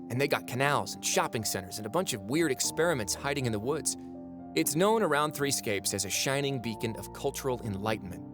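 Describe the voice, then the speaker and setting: An actor playing a wry, folksy, sardonic narrator who observes humans in an audio drama. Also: male voice, medium pitch, lightly acted. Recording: music under the voice. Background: prominent music.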